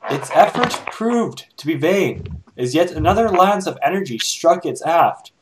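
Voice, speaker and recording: Casting: male amateur story reader, very animated intonation, theatrical, slight hiss. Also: a little high, a little slow. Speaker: fan fiction reader. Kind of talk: dramatic amateur story narration. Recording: amateur recording with hiss and clicks.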